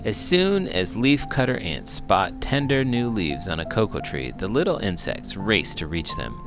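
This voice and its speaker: A man reading a children's book aloud as a teacher would, expressive and full of big emotion. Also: on the low side, normal speed, vocal fry, music in the background. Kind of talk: animated children's read-aloud narration.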